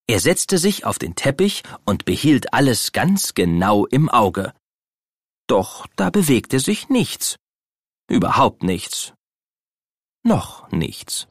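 A man narrating a children's audio play as an expressive, dramatic storyteller. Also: medium pitch, slow pace, slightly rough, no echo, studio quality, full character voice.

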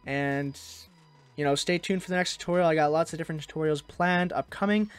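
A man hosting a software tutorial, narrating in a casual, conversational way. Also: a little high, brisk, clean tone, no room sound. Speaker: tutorial host.

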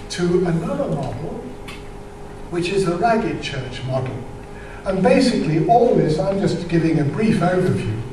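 Older male church speaker, high voice, halting explanatory delivery, distant mic in a reverberant hall. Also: noisy background. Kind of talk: informal church talk with slides. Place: large church hall with audience, indoors. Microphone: microphone on a stand.

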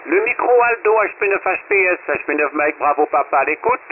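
A male ham radio operator runs briskly through signal reports in a friendly way. His voice sits on the high side and comes through a narrow, phone-like radio channel with faint hiss. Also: animated. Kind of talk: casual radio chat giving signal reports. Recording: tinny shortwave radio with hiss.

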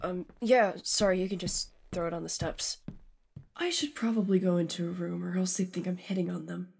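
A woman's voice: lowish voice, fairly quick, creaky, a little mellow, a little hiss or hum. A woman playing an easygoing, modest, dry-humored teenage younger sister in an audio drama. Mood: awkward, dry. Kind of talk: fan audio drama acting.

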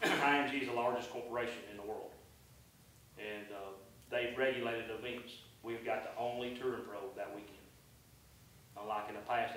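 A man's voice: medium pitch, fairly bright tone, slight background noise. Folksy man, distant and echoey. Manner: folksy, rambling with many fillers. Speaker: bull riding event organizer. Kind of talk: public comment at council meeting. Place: council meeting room. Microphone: far room camera. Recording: echoey room from far off.

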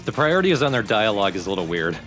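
A man's voice: on the low side, big pitch swings, racing, a bit bright. A man doing casual let's play commentary, with dry, teasing banter and some crude joking. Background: video game music.

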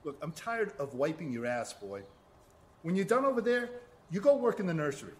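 A man's voice: a little high, very animated, fairly quick, slight background noise. A man playing a bullying, sarcastic boss who browbeats his worker in an audio drama. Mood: angry, scornful.

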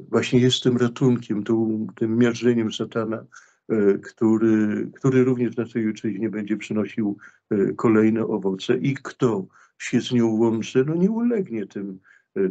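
Middle-aged male priest, lowish voice, earnest and halting, webcam call from a small room. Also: darkish tone, lo-fi sound. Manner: earnest, hesitant, many fillers and restarts. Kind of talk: religious talk in a video interview. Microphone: video call webcam.

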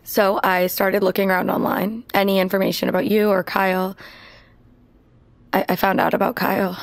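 An actress playing a wounded, determined young woman in an audio drama, blunt but halting and naturalistic. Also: medium pitch, level tone, vocal fry, no echo, lightly acted. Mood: weary, confessional. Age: young adult.

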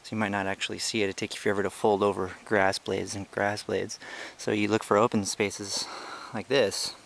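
A young man with a slightly low voice talks through his outdoor trail hike in a loose, chatty way, full of ums and restarts. He is recorded on the handheld camera he carries while walking and filming. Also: fairly quick, vocal fry, slight background noise. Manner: relaxed, chatty, explaining with many fillers. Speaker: ant-keeping channel host. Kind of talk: casual hiking vlog narration. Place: outdoors on a brushy hiking trail.

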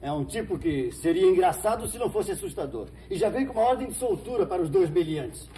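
Casting: blunt Brazilian police chief, highish mellow voice, very animated, sardonic; old TV drama. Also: male voice, fast talker. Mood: exasperated. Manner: gruff, sardonic. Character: blunt, exasperated small-town police chief. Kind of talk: 1970s telenovela acting. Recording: noisy old 1970s TV soundtrack.

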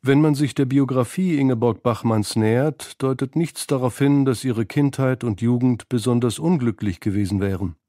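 A man narrating a scripted radio documentary in a measured, analytical manner, close to a studio microphone. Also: medium pitch, mellow, dry recording. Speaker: feature narrator.

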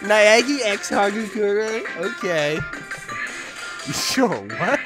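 A let's player giving excitable, giggly gameplay commentary, often breaking into shrieks and laughter while reading a visual novel aloud. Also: medium pitch, takes it slowly, over music.

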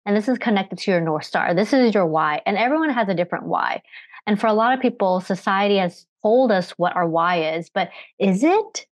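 Woman coach on a webcam, earnest, asks lots of questions, dry close sound, bit rough from a cough. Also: medium pitch, expressive, brisk, no echo. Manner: earnest, explanatory, frequent rhetorical questions. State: recovering from a cough. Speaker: energy management coach. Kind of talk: presenting slides in a webinar. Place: home room with bookshelves. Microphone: video call webcam.

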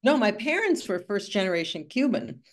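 A woman's voice: mid-range voice, sing-song, moderate pace. A woman in her sixties, born in Cuba, telling her story at length as an author in a video call interview, thoughtful and full of asides. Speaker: author and art conservator. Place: home room with bookshelves.

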